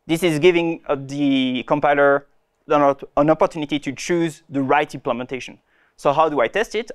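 Young male conference presenter, slightly high voice, halting delivery with frequent restarts. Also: clean tone. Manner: hesitant, many restarts and fillers. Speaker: conference speaker. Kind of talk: technical conference talk. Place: conference room.

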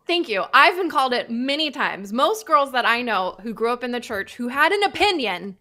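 Bright-voiced woman, wry and pointed. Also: on the high side, big pitch swings, moderate pace. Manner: wry, sarcastic, speaks with conviction. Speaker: podcast co-host. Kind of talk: casual chat. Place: home room, leather chair. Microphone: earphone mic on video call.

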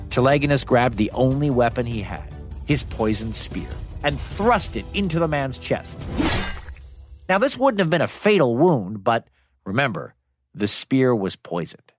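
A man narrating a scripted myth for children as a storyteller, in a dramatic but conversational way. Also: fairly high pitch. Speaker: storyteller, podcast host. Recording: dry studio sound with music behind. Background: music.

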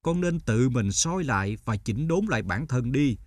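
An actor playing a stern, wise older male teacher in an animated folk tale, speaking in a measured, didactic way. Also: mid-range voice, dry recording, studio quality, natural voice. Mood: stern, admonishing.

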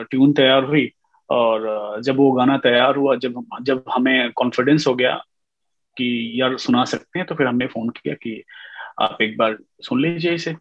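A man in his thirties, a music composer speaking as an interview guest over a video call, telling his story with lots of fillers and mixing in English. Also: mid-range voice. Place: indoor room at home. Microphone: webcam on a video call. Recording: dull lo-fi video call audio.

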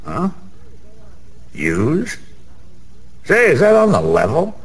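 An actor playing a boozy, boastful middle-aged Broadway gambler in a radio drama, rambling in streetwise slang with a wisecracking manner. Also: male voice, a little high, wildly swooping pitch, slow pace, husky, heavily acted. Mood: familiar, surprised. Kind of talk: 1990s radio drama acting. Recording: reverberant hall, faint background noise.

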